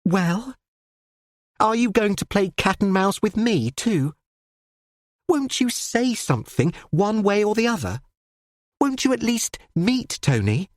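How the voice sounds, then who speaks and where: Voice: mid-range voice, sing-song, unhurried, no echo, clean studio sound, pitched above the performer's own voice. A reader voicing an impulsive young woman who talks breathlessly and urgently, pleading her case.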